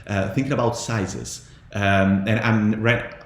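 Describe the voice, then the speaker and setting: A man's voice: lowish voice, steady tone, slow pace. A man with an Italian accent hosting a casual interview, hesitant and full of fillers and restarts. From Italy. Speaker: podcast host. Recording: echoey room with faint noise.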